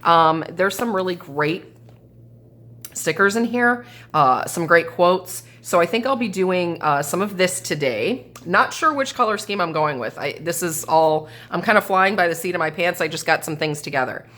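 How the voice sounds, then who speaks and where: A woman's voice: lowish voice, expressive, moderate pace, fairly bright tone. Stuffy-voiced woman chatting over crafts. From American Midwest. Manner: thinking aloud, indecisive, chatty with viewers. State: stuffy, sinusy voice. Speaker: planner and journal crafter. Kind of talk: casual crafting chat. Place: indoors at a craft table. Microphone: camera over the table.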